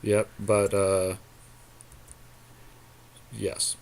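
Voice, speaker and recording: A man's voice: on the low side, monotone, drawn-out words, gravelly, darkish tone. A man co-hosting a podcast, casually commentating a card game and reasoning aloud through the plays.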